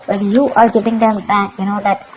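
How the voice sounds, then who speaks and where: A woman's voice: mid-range voice, flat delivery, fairly quick, noisy background. A woman giving a tarot reading to her viewers, emphatic and repetitive, often checking in with "okay?". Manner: emphatic, repeats herself, keeps asking "okay?". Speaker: tarot reader.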